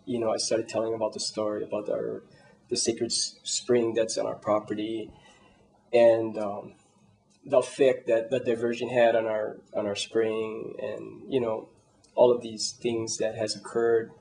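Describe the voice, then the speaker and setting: A landowning man telling a personal story in a reflective, halting way. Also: lowish voice, gravelly, a little mellow, rough recording. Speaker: landowner, interviewee.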